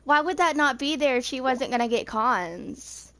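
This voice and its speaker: High-pitched young woman, casual gamer chat. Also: animated, normal speed, bright, forceful tone, no echo. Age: 20s. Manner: rambling, informal. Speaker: video game streamer.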